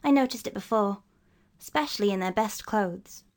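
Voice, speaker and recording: A woman's voice: mid-range voice, normal speed, a little mellow, lightly acted. A woman voicing a confident, know-all older English schoolboy with a British accent and a sardonic manner.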